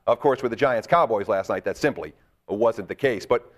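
A man hosting a sports betting show, with a gravelly voice and an animated, chatty delivery. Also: on the low side. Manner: animated, talks up his bets with quick asides. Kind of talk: casual sports betting talk.

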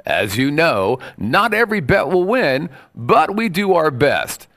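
A man's voice: a little high, big pitch swings, a little slow, fairly bright tone. A man reading a scripted disclaimer at the start of a show in a breezy, folksy way. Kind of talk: scripted announcement.

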